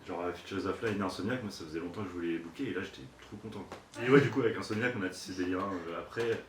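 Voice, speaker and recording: Very deep-voiced, quick-talking man. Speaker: event organiser. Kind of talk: casual interview chat. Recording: echoey room, faint noise.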